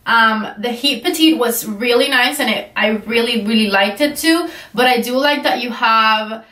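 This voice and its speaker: Young woman with a stuffy cold doing a makeup review, kinda high voice, echoey room, mic a bit far off. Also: normal speed, pushed and bright. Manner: chatty, enthusiastic, many "like" fillers. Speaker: beauty vlogger. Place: indoor room with shelves. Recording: roomy echo, voice slightly far from mic.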